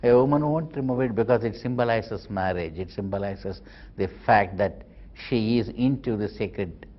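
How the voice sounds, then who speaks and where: A man's voice: lowish voice, moderate pace. A man explaining things in an interview in formal, articulate wording. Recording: slightly muffled interview audio.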